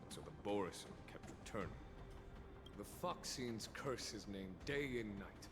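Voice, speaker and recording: Stern game commander: low voice, formal, deliberate, unyielding, music underneath. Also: male voice, expressive, big-room sound. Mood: grave. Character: stern, composed male commander, courteous but unyielding. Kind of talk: video game character dialogue.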